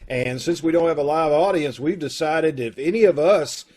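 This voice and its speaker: A man's voice: fairly high pitch, normal speed, darkish tone. A man hosting a casual chat over a video call from home, friendly and loose with fillers. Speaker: host of a community radio troupe.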